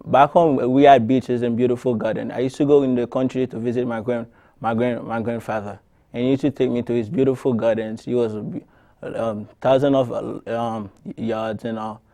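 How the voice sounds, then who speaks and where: A man from Haiti tells his personal story in a soft, mellow voice of ordinary pitch. He stumbles often and restarts his phrases while he searches for the right words. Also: fairly even intonation. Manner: halting, with frequent restarts and fillers. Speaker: interviewee. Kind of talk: personal testimony.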